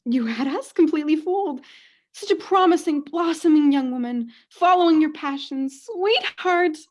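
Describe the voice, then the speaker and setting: Young woman as a high-voiced, doting, haughty mother. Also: animated, normal speed, lo-fi sound. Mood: proud, gushing. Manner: gushing, melodramatic. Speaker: student actor in radio play. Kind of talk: radio play acting.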